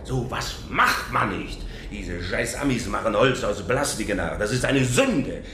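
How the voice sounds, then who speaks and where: A man's voice: on the low side, expressive, measured pace, bright, forceful tone. A man playing a proud, hot-tempered Italian immigrant woodcarver with an Italian accent in a radio crime drama. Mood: indignant. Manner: indignant, swearing, swooping between outrage and reverence. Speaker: actor. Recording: roomy echo, faint noise.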